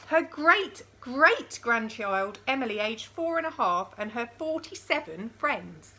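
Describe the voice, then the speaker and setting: A woman with a bright, fairly high voice reads a children's story at a very unhurried pace. She is expressive with sound words and speaks directly to young listeners, with noticeable background noise. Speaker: storyteller reading a picture book aloud. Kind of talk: expressive children's read-aloud with chatty asides.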